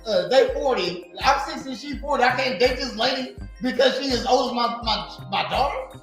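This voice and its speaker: Man in his forties, high voice, rambling profane podcast chat, heavy room echo, faint music behind. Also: normal speed, fairly bright tone. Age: 40s. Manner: rambling, laughs often, repeats "you know what I mean". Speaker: podcast co-host.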